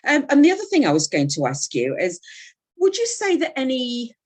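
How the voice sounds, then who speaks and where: A woman's voice: sits high, wildly swooping pitch, normal speed, darkish tone. A woman interviewing an author, chatty and encouraging, with many affirming murmurs. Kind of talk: conversational interview.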